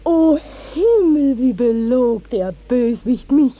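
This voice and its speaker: An actress playing a desperate, impassioned young village maiden in a classic 1950s German radio drama. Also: female voice, high voice, animated, slow, narrow, tinny audio, heavily acted. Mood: wounded, indignant.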